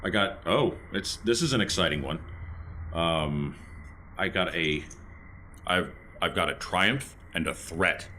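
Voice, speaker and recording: A man's voice: deep voice, slow pace, pauses often, bright, forceful tone, rough recording. A man chatting casually as a player in a tabletop role-playing game. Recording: faint background noise.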